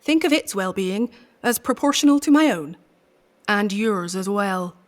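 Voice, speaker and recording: A woman voicing a stern female company chief who briefs her agents in a formal, commanding, measured way. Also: mid-range voice, lively intonation, natural voice. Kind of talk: audio drama acting.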